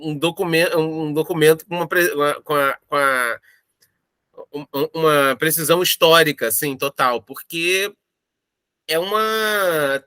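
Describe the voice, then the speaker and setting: Bright-voiced Brazilian man, fairly high-pitched. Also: no room sound. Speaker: audio drama creator interviewed in a making-of. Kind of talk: casual reflective discussion.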